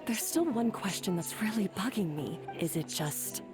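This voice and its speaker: Game role: contrite female head chef played by an actress, average pitch, unsure, music underneath. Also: lively intonation, moderate pace, natural voice. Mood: dejected, ashamed. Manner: hesitant, apologetic. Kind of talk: video game voice acting.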